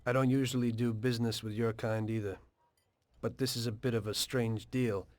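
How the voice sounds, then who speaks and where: An actor playing a guarded, businesslike mobster with an American accent, speaking in a measured, discreet way in a game cutscene. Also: male voice, mid-range voice, fairly even intonation, dry recording, close to an everyday voice. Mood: confiding, businesslike. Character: adult male mobster, guarded, politely businesslike, confiding. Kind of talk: dramatic dialogue in a video game cutscene.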